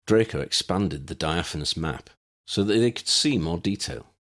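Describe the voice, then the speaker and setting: A man's voice: sits low, expressive, normal speed, fairly bright tone. A man reading scripted fiction aloud as an audiobook narrator.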